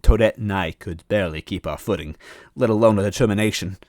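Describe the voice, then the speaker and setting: A man's voice: on the low side, fast, a little mellow, no room sound, clean studio sound. A man reading a story aloud as a dramatic first-person narrator. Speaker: story reader.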